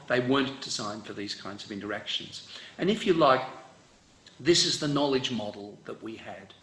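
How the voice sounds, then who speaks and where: A man's voice: mid-range voice, vocal fry, reverberant, poor audio. A middle-aged man with an Australian accent, an education professor giving an online presentation with many fillers and restarts. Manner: relaxed, rambling lecture with many fillers and restarts.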